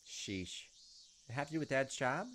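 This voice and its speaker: A man reading a visual novel aloud as a gaming host, expressive, with casual asides. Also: mid-range voice, normal speed, slight background noise.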